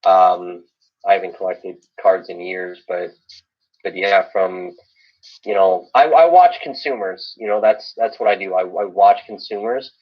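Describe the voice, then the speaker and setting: Lowish American man on dull stream audio. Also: a little slow. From Illinois, US. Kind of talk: casual chat.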